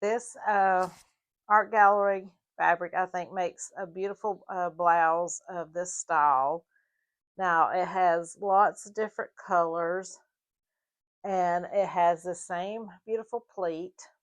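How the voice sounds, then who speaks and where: A slightly hoarse woman with a Southern US mountain accent, explaining her sewing project in a casual, halting tutorial with fillers. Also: fairly low pitch, fairly even intonation. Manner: halting, with frequent fillers and restarts. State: a little hoarse. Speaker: sewing vlogger.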